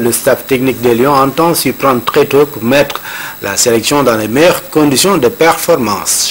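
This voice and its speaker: A man presenting the evening TV news in a formal, measured way. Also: medium pitch, slightly rough. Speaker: news anchor.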